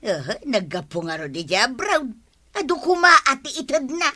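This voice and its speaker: A woman's voice: high voice, sing-song, normal speed, sharp tone, full character voice. A woman with an Ilocano accent playing a stingy, grumbling village wife in a radio comedy drama, exclaiming and complaining.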